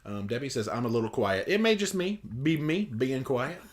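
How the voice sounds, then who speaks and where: A man's voice: medium pitch, sing-song, normal speed. A man co-hosting a casual livestream, reading out viewer comments and joking around. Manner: jokey, teasing. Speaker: livestream co-host.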